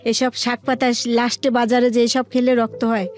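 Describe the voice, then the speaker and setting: A woman's voice: on the high side, little pitch movement, fairly quick, faint music behind. A middle-aged woman with a colloquial Bangladeshi accent, telling her own life story in a plain-spoken, conversational way.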